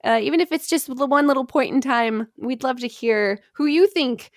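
A woman's voice: high-pitched, moderate pace, dry recording. A woman hosting a podcast, telling history in a conversational way among friends.

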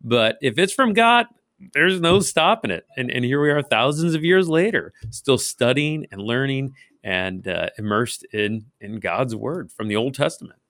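A man talking as a partner in a casual Bible discussion, feeling his way haltingly through what he explains. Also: mid-range voice, expressive, fairly bright tone, no echo, clean studio sound.

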